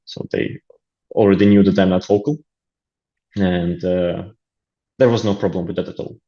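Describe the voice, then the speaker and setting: Low gravelly man with a Latvian accent. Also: fairly even intonation, normal speed, dark tone, dull audio. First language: Russian. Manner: hesitant, many fillers and restarts. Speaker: interview guest, aid volunteer. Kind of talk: casual interview talk.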